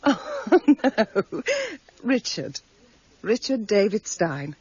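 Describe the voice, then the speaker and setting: A woman's voice: medium pitch, big pitch swings, very slow, darkish tone, slightly rough, no room sound, highs cut off, exaggerated voice. An actress in a radio drama playing a chatty, genteel, gossipy woman who owns a bookshop. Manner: gossipy, fussy, digressive. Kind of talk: 1990s radio drama acting.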